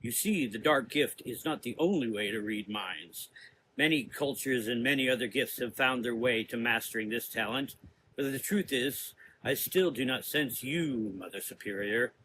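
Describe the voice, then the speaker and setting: Guy doing a menacing gothic sorcerer for an audio drama, bright forceful voice, slow smug lecturing, noisy background. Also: mid-range voice, lightly acted. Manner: deliberate, lecturing, drawn-out phrases. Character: menacing, cerebral male gothic sorcerer, taunting and sure of himself.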